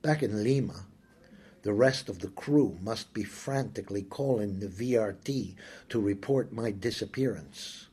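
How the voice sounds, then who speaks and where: A man reading a first-person story aloud, slow, brooding and intimate. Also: on the low side, mellow, breathy edge. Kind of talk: scripted first-person audiobook narration.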